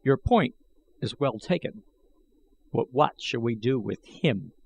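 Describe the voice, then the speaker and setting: A man's voice: mid-range voice, animated, slow, gravelly, darkish tone, slightly rough, exaggerated voice, rough recording. Man acting a stern, demanding warrior. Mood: grave. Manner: terse, demanding, formal. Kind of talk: audiobook character voice.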